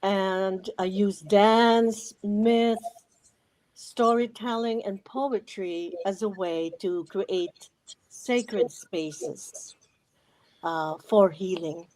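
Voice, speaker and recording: A woman in her sixties with a Filipino accent, speaking reflectively and deliberately as an interview guest. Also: medium pitch, darkish tone, poor audio. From Philippines. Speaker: podcast guest, retired educator.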